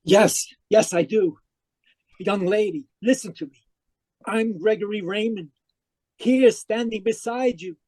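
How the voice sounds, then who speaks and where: A man acting a tormented dead man who narrates his afterlife in old-time radio mystery style, halting and pleading. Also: high voice, warm tone, no echo. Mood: desperate pleading. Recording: live video call performance.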